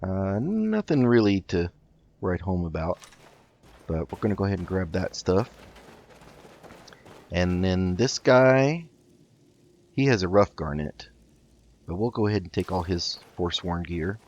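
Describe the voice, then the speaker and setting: Low-voiced man, chatty game commentary. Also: expressive, measured pace, long pauses, darkish tone. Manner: chatty, hesitant, thinking aloud. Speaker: gaming video host. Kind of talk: casual let's play commentary with roleplay. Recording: slightly dull mic, game music underneath. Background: faint game music.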